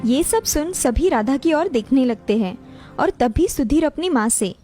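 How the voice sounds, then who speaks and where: A woman's voice: sits high, expressive, unhurried, mellow, soft background music. A woman narrator reading a scripted cartoon family story.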